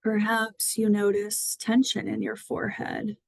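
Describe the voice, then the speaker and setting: A woman leads a body scan meditation at an unhurried, slow pace, her voice gentle and soothing. It sits at a middle pitch and sounds dry and close to the mic. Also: completely flat delivery, gravelly, dry recording. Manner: slow, gentle, soothing guidance with long pauses. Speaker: mindfulness guide.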